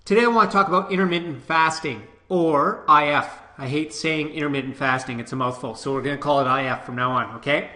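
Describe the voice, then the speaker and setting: A man's voice: fairly high pitch, brisk, hardly any pauses, pushed and bright, echoey room. A Canadian man, a personal trainer, talking casually to camera, a bit stuffy from a cold, coaching directly and checking in with "okay?". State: nasal from a cold, sniffling.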